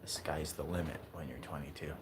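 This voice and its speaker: A man's voice: very deep voice, brisk. An American man of twenty-nine from California, chatting casually as a streamer with a viewer and asking friendly, curious questions. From California, USA. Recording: steady background noise.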